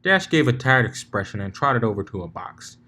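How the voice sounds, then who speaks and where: A man's voice: lowish voice, sing-song, fairly quick, bright, forceful tone. A man reading fan fiction aloud as narrator, informal and sometimes stumbling over the text. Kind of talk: story narration.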